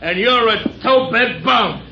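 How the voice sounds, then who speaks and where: A man's voice: extremely high pitch, lively intonation, unhurried, gravelly, a little hiss or hum, exaggerated voice. A man playing an unhinged, belligerent, punch-drunk American ex-champion boxer with a paranoid, taunting manner in an old radio drama. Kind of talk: 1950s radio drama acting. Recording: muffled old radio recording.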